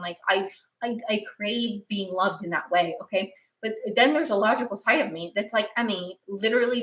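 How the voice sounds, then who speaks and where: Twenty-something woman just chatting, animated and rambly, kinda echoey room. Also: mid-range voice. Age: 20s. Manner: rambling, animated, frequent restarts and "like". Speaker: podcast host. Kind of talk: casual chat.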